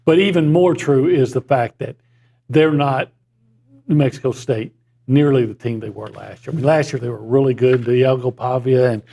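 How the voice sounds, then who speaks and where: A man talking sports as a columnist on a studio show, measured and analytical, with dry asides. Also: medium pitch, vocal fry, dark tone. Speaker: sports columnist and regular guest. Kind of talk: casual sports talk chat. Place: broadcast studio.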